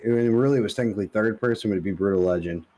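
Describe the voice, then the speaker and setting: A man's voice: fairly low pitch, quick pace, warm tone. A man co-hosting a podcast in casual conversation, blunt and opinionated in the way he talks. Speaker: podcast co-host.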